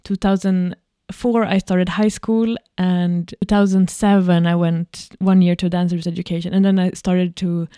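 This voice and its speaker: A woman, talking loosely and casually, dropping "like" often. Her voice is mellow and warm at a middling pitch. She is recorded dry and close, with no echo. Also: little pitch movement, moderate pace, few pauses. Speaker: choreographer. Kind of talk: casual conversation.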